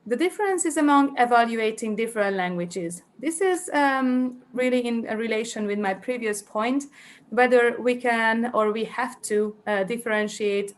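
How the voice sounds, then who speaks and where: Hesitant Hungarian woman presenting a talk. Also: a little high. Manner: hesitant, many fillers and restarts while thinking aloud. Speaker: conference presenter. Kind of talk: academic conference presentation.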